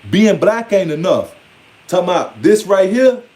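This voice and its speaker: A man's voice: high-pitched, sing-song, moderate pace, darkish tone. An American man in his forties giving an informal, rambling monologue with emphatic, streetwise delivery and casual swearing. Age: 40s. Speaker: online speaker. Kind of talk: informal spoken monologue to followers.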